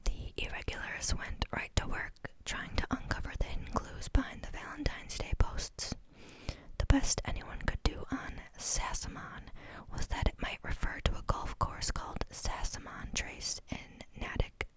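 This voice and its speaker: Woman reading aloud in a whisper. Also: rough recording. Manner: soft unvoiced whisper throughout; reads steadily, stumbles and restarts on words. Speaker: reader.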